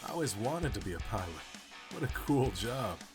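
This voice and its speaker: A man's voice: medium pitch, big pitch swings, normal speed, breathy edge. A man playing a charming, laid-back young pop star in a visual novel game, with a confident, friendly manner and music behind. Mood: impressed, friendly.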